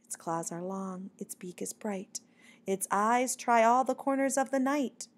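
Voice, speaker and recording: A woman's voice: a little high, unhurried. A woman voicing a shy, earnest little male bat poet in a storybook, with a timid, hesitant manner. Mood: hushed, solemn.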